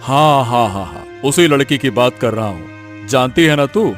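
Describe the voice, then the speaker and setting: A man's voice: medium pitch, lively intonation, a little slow, lightly acted. A man voicing an overbearing, scheming rich village father in a cartoon moral tale. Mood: gossipy, puzzled. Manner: pompous, rustic wheedling talk. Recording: music faintly behind the voice.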